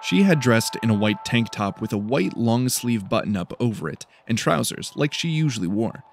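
A man's voice: mid-range voice, expressive, moderate pace. A man reading scripted fiction as a dramatic audiobook narrator. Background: faint music.

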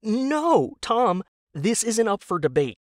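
A man plays a young wife at the end of her rope in an audio drama. The voice is pitched up high, biting and scornful, with hard emphasis and incredulity in a close, dry recording. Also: big pitch swings, takes it slowly, dry recording, full character voice. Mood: exasperated. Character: exasperated, furious young wife, sharp and scornful.

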